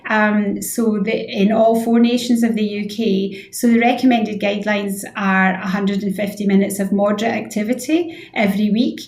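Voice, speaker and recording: A woman with a mid-pitched voice speaks as an expert interview guest in a roomy, echoey space. She explains health advice informally and earnestly, repeating key words for emphasis. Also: steady tone, moderate pace, few pauses, darkish tone. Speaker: interview guest, head of physiotherapy.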